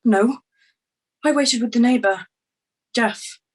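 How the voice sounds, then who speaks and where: A woman acting a shaken, grieving young crime witness in a screenplay table read, with halting delivery. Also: a little high, slightly rough. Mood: distressed, unsure.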